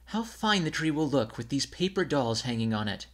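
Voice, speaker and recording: A man's voice: medium pitch, sing-song, moderate pace, fairly bright tone, pitched above the performer's own voice. A man playing busy, fussy household grown-ups getting ready for Christmas in a storybook. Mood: fussy excitement.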